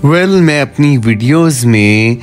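A man in his thirties giving an unhurried, casual travel guide narration, mixing English words into his talk. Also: mid-range voice, animated. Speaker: travel vlogger.

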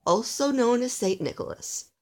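A woman voicing a kindly old male elf in a Christmas storybook, with a hearty, gently coaxing manner. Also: fairly high pitch, lively intonation, normal speed, pitched above the performer's own voice, natural voice. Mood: warm, inviting.

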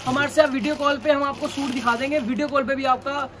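A young man, the owner of a clothing shop, making a sales pitch and insistently repeating reassurances to the camera inside his large store. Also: fairly even intonation, fast, background noise.

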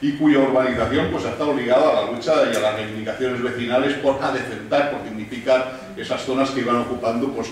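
A man in his fifties, a Catalan native, giving a measured talk as a guest speaker at a panel table, with many pauses and restarts. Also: medium pitch, steady tone. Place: room with large windows, panel table. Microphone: desk mic on stand, filmed from across room. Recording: echoey room from a distance.

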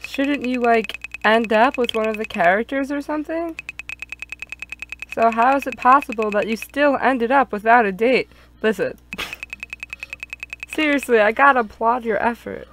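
A woman's voice: a little high, measured pace, pauses often, a bit bright, a little hiss or hum, poor audio. A woman playing a visual novel with friends, reading dialogue aloud and chatting casually. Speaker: player in a group gaming stream.

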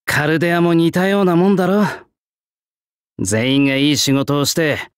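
An actor playing a gruff swordsmith, an old soul in a young man's body, with blunt old-fashioned artisan speech in a game. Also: a little high, moderate pace, no echo, studio quality, natural voice. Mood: gruff, reflective. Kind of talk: Japanese game character voice lines.

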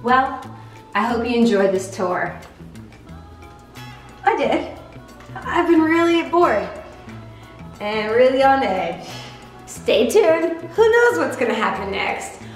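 A young woman giving a joking tour of her own home, self-mocking and deadpan, shifting between bright and flat delivery. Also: on the high side, slow pace, pauses often. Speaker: vlogger. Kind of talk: comedic home tour vlog. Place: apartment, indoors. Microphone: camera mic, handheld and at distance. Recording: roomy apartment echo with music behind.

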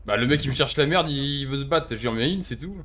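A man's voice: mid-range voice, fast, a bit bright. A young man giving casual, cheeky gaming commentary and slangy role-play banter. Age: young adult. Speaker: streamer playing an online role-play game. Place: indoor streaming setup. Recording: faint car and game noise behind.

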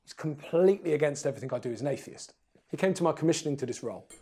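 A man's voice: fairly high pitch, expressive, racing, warm tone, slightly rough. An English man in his forties speaking earnestly and emphatically as an interview guest, firing off rhetorical questions, on a lapel mic. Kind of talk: animated interview talk. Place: small indoor studio room.

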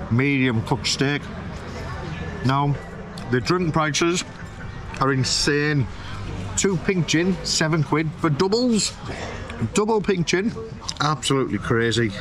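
A man in his thirties with a British accent, reviewing food casually to camera in a chatty, slangy, emphatic way. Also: medium pitch. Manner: chatty, slangy, emphatic, repeats phrases for effect. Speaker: food reviewer and vlog host. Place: open-air restaurant terrace by a road. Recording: outdoor street-side noise, passing vehicles.

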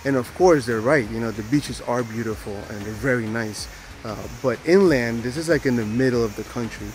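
A man's voice: medium pitch, a little hiss or hum. A man in his forties, raised in the United States, talking casually to a handheld camera outdoors as a travel vlogger, full of fillers and restarts. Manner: rambling, filler-heavy, friendly aside-style talk. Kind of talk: casual vlog narration to camera. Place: outdoors at a rainforest park.

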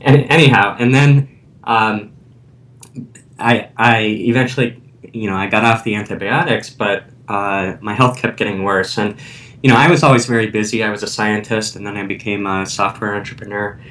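A man's voice: normal speed, a bit bright. A man who is an author and guest, telling his personal story in a hesitant way with many fillers. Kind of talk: interview storytelling. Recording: distant mic with faint rumble.

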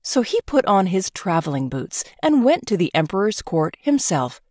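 A woman narrating a children's storybook in an expressive, scripted read-aloud style. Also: medium pitch, normal speed, no room sound, clean studio sound.

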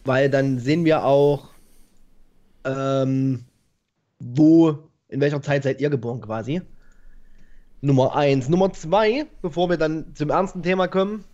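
A man's voice: fairly high pitch, a little slow, long pauses, darkish tone. A man in his thirties hosting a live stream in a chatty, self-mocking way, talking straight to the viewers over a headset. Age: 30s. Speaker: host. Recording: dull lo-fi call audio.

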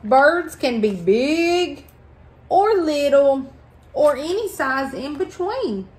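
A middle-aged woman reading a picture book aloud to children, phrasing expressively and deliberately. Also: high-pitched, big pitch swings. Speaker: library storytime reader. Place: indoors at a table in a small room.

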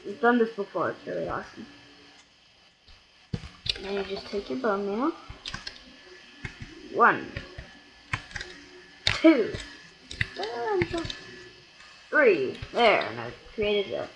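Slow-talking gamer narrating over noisy clicks. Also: medium pitch, gravelly, dull audio. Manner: rambling, self-correcting, sudden excited outbursts. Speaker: let's play gamer. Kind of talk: casual gameplay commentary.

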